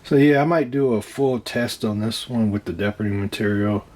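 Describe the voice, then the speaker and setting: A man giving a casual, hands-on demonstration of his own DIY project, talking in a halting, unscripted way as he thinks aloud, with some noise in the recording. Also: fairly low pitch, darkish tone. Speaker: DIY speaker builder presenting own project.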